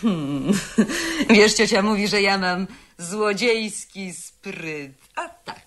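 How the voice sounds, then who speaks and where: An actress in a 1970s Polish radio play playing a shrewd young niece who cajoles and insists in rapid repeated bursts. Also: female voice, medium pitch, fairly bright tone. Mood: persuasive, flippant.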